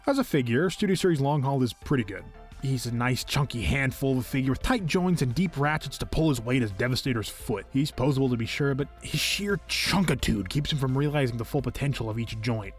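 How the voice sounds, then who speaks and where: Fast-talking man narrating an enthusiastic review. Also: medium pitch. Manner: expressive, wordy, earnest. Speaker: video host, toy collector reviewing figures. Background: faint music.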